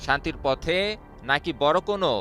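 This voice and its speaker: High-pitched Bangladeshi male news reporter, bright voice. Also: normal speed. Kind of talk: scripted news narration. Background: music bed under the voice-over.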